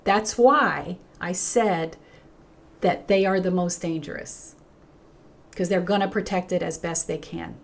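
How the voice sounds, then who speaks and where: A woman's voice: lowish voice, measured pace, creaky. An older woman pastor hosting a livestream, talking earnestly and reading out viewer comments while she is losing her voice. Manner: earnest and emphatic, with frequent restarts and a lively rise when greeting viewers. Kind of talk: unscripted livestream talk, reading chat comments.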